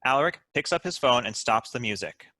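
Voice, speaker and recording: A man's voice: mid-range voice, lively intonation, a little fast, sharp tone, no echo. A man reading out stage directions as the narrator of a scripted table read.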